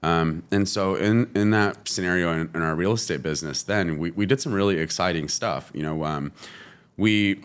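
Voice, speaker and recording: A man from California, a guest talking casually in an interview, relaxed and full of "you know" fillers. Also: sits low, moderate pace, smooth. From California, USA. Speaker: guest, entrepreneur.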